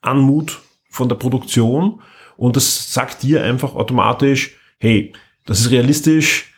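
A man chatting as a podcast co-host, explaining things in halting, repeated starts. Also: medium pitch, slightly rough. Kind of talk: casual chat.

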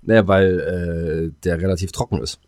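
A man co-hosting a podcast in casual, joking chat, rambling playfully and haltingly. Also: sits low, steady tone, a little mellow, dry recording, studio quality. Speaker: podcast co-host.

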